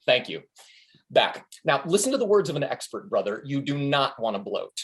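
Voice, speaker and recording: A man's voice: medium pitch, very animated, moderate pace, close to an everyday voice. A man playing a brash, swooping tour manager. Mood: crude, cocky. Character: brash, crude, cocky male tour manager and self-styled expert. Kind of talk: comedy play table reading. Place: remote reading over a video call.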